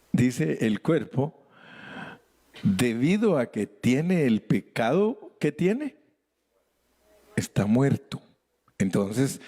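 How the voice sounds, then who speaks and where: A man preaching a sermon in Spanish as pastor, animated with playful asides, occasionally switching into English as a non-native speaker. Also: mid-range voice. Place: church sanctuary.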